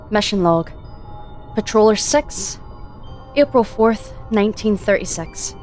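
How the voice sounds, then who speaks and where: A woman's voice: mid-range voice, lightly acted. An actress playing a spaceship commander alone on patrol, reading her mission log in an audio drama. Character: lone female spaceship commander, calm and content.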